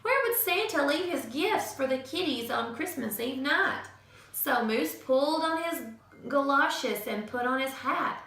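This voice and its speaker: A woman teacher reading a picture book aloud to children in an expressive, sing-song storytelling manner. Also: sits high, creaky. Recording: echoey room from far off.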